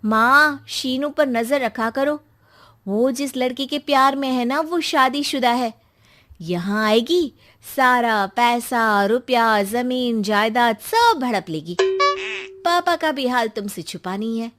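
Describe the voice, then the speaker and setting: A woman's voice: on the high side, takes it slowly, a bit bright. A woman voicing a greedy, scheming young daughter in a moral story, insistent and insinuating. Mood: alarmist, pressing.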